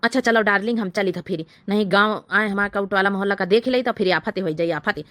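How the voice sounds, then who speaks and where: A woman's voice: medium pitch, brisk, fairly bright tone, no echo, close to an everyday voice. A woman voicing a sneaky, short-tempered village husband in a comedy cartoon, with a brusque, rustic Awadhi accent. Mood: furtive.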